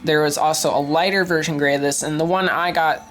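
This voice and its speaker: A woman's voice: deep voice, fairly even intonation, brisk, soft background music. A young woman with a stuffy, congested voice from a cold, chatting enthusiastically with lots of ums and restarts as she shows off a collected item. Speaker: streetlight collector and vlogger. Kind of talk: casual show-and-tell vlog.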